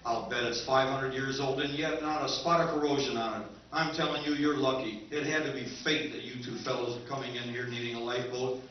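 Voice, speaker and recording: A man playing a smooth-talking interstellar junk dealer, using persuasive salesman patter in a live recreation of a 1950s radio drama, recorded in an echoey hall from far off. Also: medium pitch, steady tone, fairly quick, muffled recording, a little hiss or hum, lightly acted.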